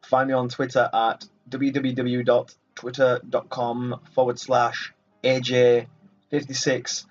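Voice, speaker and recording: A man hosts a casual game playthrough with a playfully boastful delivery. His voice sits at a middling pitch and sounds dull and set back from the microphone. Music plays behind him as he talks. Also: monotone, slow pace. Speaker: gaming video host. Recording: muffled, distant mic over game music.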